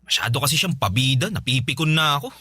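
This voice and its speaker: A man's voice: medium pitch, expressive, quick pace, bright, forceful tone, breathy edge, no room sound, studio quality. A man playing a weary, apologetic young husband in a Tagalog radio drama, speaking in a placating, subdued way. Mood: weary, frustrated.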